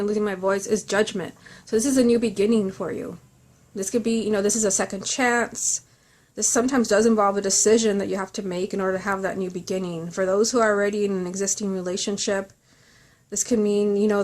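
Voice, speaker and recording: Woman giving an informal tarot reading. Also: mid-range voice. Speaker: tarot reader.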